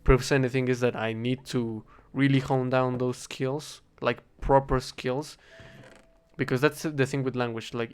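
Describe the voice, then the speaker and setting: A young man with a Spanish accent, a native Spanish speaker, rambling in a casual, halting monologue while he plays a game and keeps correcting himself. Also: mid-range voice, smooth. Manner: halting, self-correcting, thinking aloud. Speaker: vlogger.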